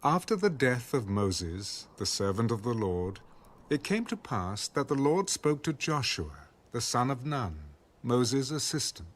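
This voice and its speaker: A man as lively-toned, solemn scripture narrator. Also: medium pitch. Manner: measured, formal. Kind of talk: scripted audio Bible narration. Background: faint music.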